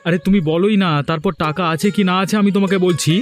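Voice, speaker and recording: Here is a cartoon village son played by a man, naive and full of hope. His male voice is a little high and his delivery is simple and earnest, with some music behind. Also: steady tone, fast, close to an everyday voice. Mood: curious. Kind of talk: animated story dialogue acting.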